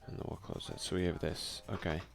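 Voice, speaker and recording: A man doing a soft-spoken, unhurried ASMR game playthrough, reading text aloud with casual asides. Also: low-pitched, little pitch movement, vocal fry, faint music behind. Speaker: let's play streamer.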